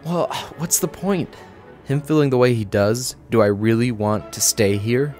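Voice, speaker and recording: A man playing an easygoing, resigned high school guy in a radio play. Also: unhurried, a little mellow, soft background music, natural voice.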